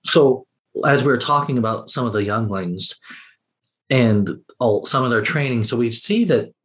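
A man on a podcast panel, chatting casually with halting, loose phrasing. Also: medium pitch, expressive, fairly bright tone. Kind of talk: casual chat.

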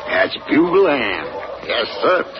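A man's voice: mid-range voice, very animated, slow. An actor playing an older Missouri hound breeder and father, with a folksy, chuckling drawl, in a 1940s radio play. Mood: fond. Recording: dull old radio broadcast with hiss.

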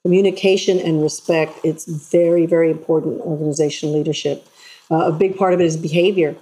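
A woman guest in an interview, telling stories in an earnest, animated way. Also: sits low, fast talker, breathy edge, poor audio. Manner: earnest, animated storytelling with acted-out voices. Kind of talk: casual interview chat.

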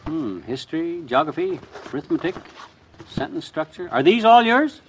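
An actor playing a blustery, boyish, folksy husband in an old-time radio comedy. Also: male voice, a little high, big pitch swings, moderate pace, a little hiss or hum, low-quality recording. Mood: folksy, curious. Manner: folksy, slangy. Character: blustery, boyish, quarrelsome small-town husband.

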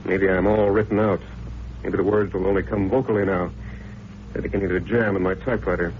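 An actor playing a cynical, hard-drinking newspaper columnist, wry and needling, in 1940s radio drama style. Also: male voice, sits low, steady tone, a little fast. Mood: bitter, needling. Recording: tinny, hissy old radio recording.

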